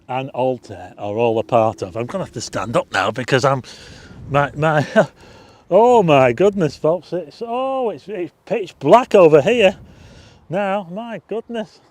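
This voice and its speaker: A Northern English man hosting a live stargazing stream outdoors at night, chatting casually, reading out viewer names for a quiz, his voice a little croaky. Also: on the high side, darkish tone. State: slightly croaky. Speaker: astronomy livestream host.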